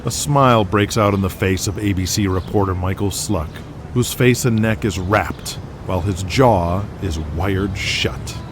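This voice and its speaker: A man's voice: deep voice, a little slow. A man narrating a scripted audio drama as a dramatic storyteller. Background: music.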